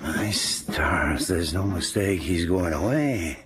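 An actor playing a loyal, uneasy sailor and war veteran in a radio drama, earnest and plain-spoken. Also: male voice, sits low, slow. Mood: musing, awed. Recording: noisy old-time radio broadcast.

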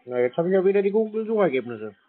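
A husky-voiced man in a phone conversation, answering in a matter-of-fact, contrary way. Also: sits high, sing-song, racing. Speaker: call recipient. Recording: muffled, narrow phone line.

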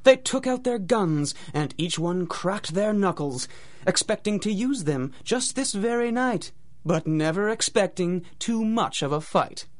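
Theatrical higher-voiced radio drama narrator. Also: male voice, lively intonation. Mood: grave, dramatic. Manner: theatrical, measured storytelling. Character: dramatic radio announcer telling a pulp tale. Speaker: announcer and narrator. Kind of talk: rhymed storybook narration in old-time radio drama style.

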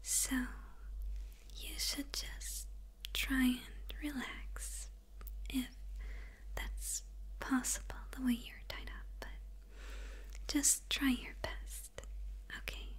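A high, whispering supervillainess acted by a young woman, menacing and teasing yet clearly smitten. She murmurs very slowly and haltingly, close to the mic, as in an intimate ASMR roleplay. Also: level tone, full character voice, low-quality recording. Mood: softly possessive. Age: young adult. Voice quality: breathy whisper. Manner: slow, hesitant, halting murmur with pauses. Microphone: close microphone.

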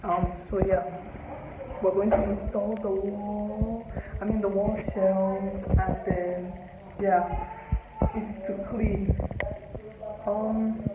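A woman's voice: medium pitch, very slow, pauses often, very noisy. A young Nigerian woman with a Nigerian accent chats casually to a handheld camera, thinking aloud with plenty of fillers as she walks through a small, bare apartment. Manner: relaxed, chatty, thinking aloud with many fillers. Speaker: vlogger. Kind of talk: casual vlog chat to camera. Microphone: handheld camera close to face. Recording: echoey empty rooms with background music.

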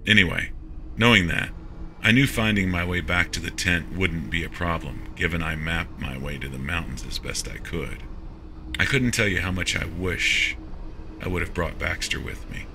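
A man's voice: sits low, normal speed, background noise. A man reading a first-person science fiction horror story as a scripted narration.

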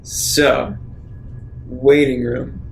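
Creaky-voiced man in casual game talk. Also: medium pitch, flat delivery, very slow, a little hiss or hum, low-quality recording. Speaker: roleplaying game player. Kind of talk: casual improvised in-character table talk.

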